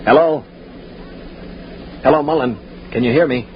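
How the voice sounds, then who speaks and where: An actor playing an urgent, commanding leader of spaceship prisoners, emphatic and intense, in the style of 1950s radio drama. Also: male voice, mid-range voice, measured pace, natural voice. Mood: tense, urgent. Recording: muffled, noisy 1950s radio recording.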